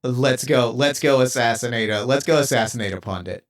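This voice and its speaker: A man's voice: mid-range voice, close to an everyday voice. A man voicing an earnest, formal assassin robot. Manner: formal, careful, halting speech. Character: earnest male assassin robot fighting a violence addiction.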